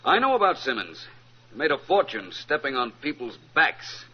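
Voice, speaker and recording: An actor playing an urbane, unflappable gentleman detective in an old-time radio drama, polite and drily witty. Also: medium pitch, sing-song, normal speed, husky. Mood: unruffled, amused. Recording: dull, faintly noisy vintage radio recording.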